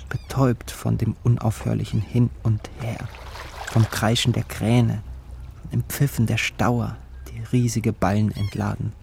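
A man's voice: lowish voice, flat delivery, unhurried, creaky, background noise. An actor playing a desperate, brooding poor young man, telling his life in an intense, literary audio drama style. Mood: bleak, anguished. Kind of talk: first-person audio drama narration and dialogue.

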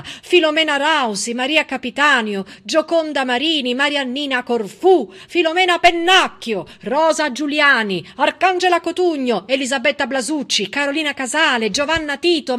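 Female stage storyteller, high and forceful voice, emphatic civic monologue with deliberate pauses and repetition. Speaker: stage actress and narrator.

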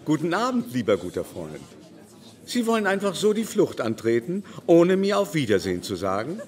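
Gushing, theatrical aristocratic baron, swooping intonation. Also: male voice, a little high, wildly swooping pitch, darkish tone. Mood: effusive, flattering. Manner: gushing, theatrical, drawn-out politeness. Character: effusive, mannered aristocratic baron charming a general. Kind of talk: German radio play acting.

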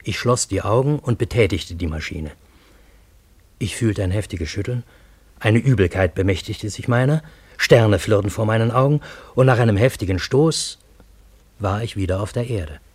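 A haunted parisian storyteller for radio drama, husky voice, very dry close sound, measured and dramatic. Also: male voice, on the low side, darkish tone, dry recording. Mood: troubled, tense. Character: troubled Parisian man recounting his fantastic time-loop adventure. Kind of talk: scripted radio drama narration.

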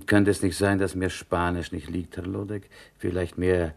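A man's voice: low voice, little pitch movement, normal speed, vocal fry. A man acting in a radio play as a meek, polite, stubbornly logical chess beginner who speaks hesitantly. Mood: polite, pondering. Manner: hesitant, courteous, naive, pondering.

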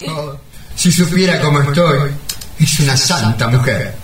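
An actor playing a naive, sentimental husband, delivered melodramatically in a comic radio play. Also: male voice, fairly high pitch, a little slow, echoey room, far from the mic, soft background music, lightly acted. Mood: wistful. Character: naive, sentimental, devoted husband trapped underground.

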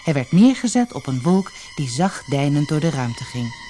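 A storyteller reading a scripted fairy tale in an expressive, dramatic manner. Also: mid-range voice, moderate pace, slight background noise.

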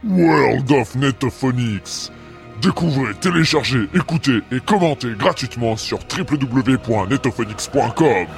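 Man as a gravelly promo announcer. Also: medium pitch, lively intonation, unhurried, soft background music. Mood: deliberate. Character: slow, deliberate promo announcer. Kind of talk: advert voice-over.

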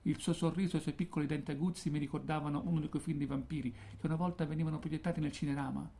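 An actor playing a wry, world-weary undercover agent in a dystopian science-fiction radio drama, laconic and theatrical in delivery. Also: male voice, fairly high pitch, steady tone, racing, gravelly, mellow, a little hiss or hum. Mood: dry, guarded.

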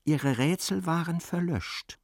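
A man narrating a literary radio play in a measured, dryly ironic way. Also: on the high side, no echo, clean studio sound.

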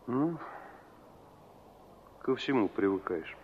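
A slightly dull 1960s Soviet radio drama recording of a young male forester. His voice sits at a medium pitch. He speaks plainly and sparingly, hesitant and guarded like a jealous village suitor. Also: sing-song, takes it slowly, breathy edge, full character voice. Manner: hesitant, laconic.

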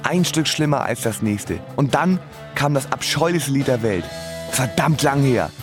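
A man playing a sardonic musician, narrating his own story in a scripted, deadpan radio drama style. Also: medium pitch, unhurried, music in the background, lightly acted. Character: sardonic male musician recalling his life. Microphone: close studio microphone.

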